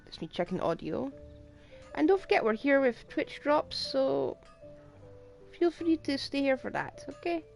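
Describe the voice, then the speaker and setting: Woman game streamer: chatty live commentary, expressive swings in pitch, dryly joking, clear music underneath. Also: measured pace. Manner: chatty, dry quips. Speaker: livestreamer.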